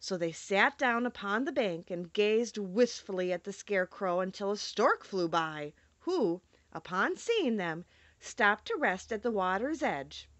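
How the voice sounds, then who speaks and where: A woman's voice: medium pitch, sing-song, a little slow, a bit bright, no echo. A woman reading a children's storybook aloud as an audiobook narrator. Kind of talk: expressive scripted storybook narration.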